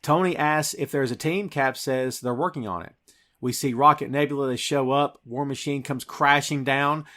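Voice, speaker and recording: A man's voice: medium pitch, steady tone, moderate pace, sharp tone. A man hosting a podcast, eagerly recapping a film scene by scene in casual chat. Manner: enthusiastic, recapping scenes with a laugh. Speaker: podcast host.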